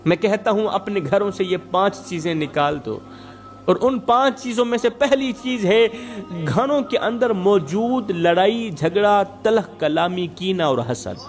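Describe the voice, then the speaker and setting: A male preacher with a high-pitched voice delivers a moral sermon in a storytelling style. He exhorts his listeners emphatically, building points through rhetorical repetition. Faint music plays behind his voice. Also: expressive, a little slow.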